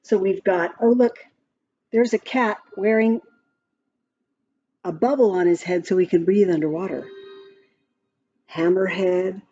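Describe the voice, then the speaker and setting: A woman reading a rhyming picture book aloud to young children in an expressive, sing-song way, with counting and little asides. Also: mid-range voice, a little slow, long pauses, slightly rough. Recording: muffled lo-fi audio with background music. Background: music from a picture-book app.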